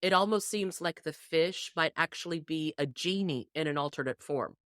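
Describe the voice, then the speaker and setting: Low-pitched woman in close, dry sound. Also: moderate pace, a bit bright, dry recording. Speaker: podcast panelist. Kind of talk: casual discussion of a folk tale.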